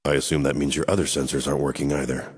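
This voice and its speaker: An actor playing a grim, commanding masked vigilante in a video game, terse and controlled. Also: male voice, very deep voice, fairly even intonation, rapid-fire, big-room sound, noisy background. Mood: stern. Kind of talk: video game cutscene acting. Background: music.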